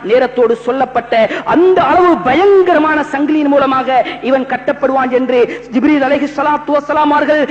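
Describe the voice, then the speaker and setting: High-pitched male preacher in a reverberant hall. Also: normal speed. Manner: dramatic, exhortatory, with repetition and rhetorical questions. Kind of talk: impassioned Islamic sermon with Arabic scripture quotations. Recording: dull, reverberant hall recording.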